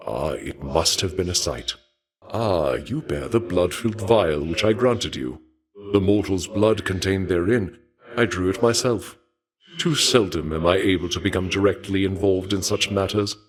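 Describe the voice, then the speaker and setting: A man playing a refined, bloodthirsty god of war in a video game, speaking in a formal, measured, menacingly courteous way. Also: low voice, natural voice. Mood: composed admiration. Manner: formal, courtly, measured, savouring talk of violence. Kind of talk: theatrical video game character dialogue.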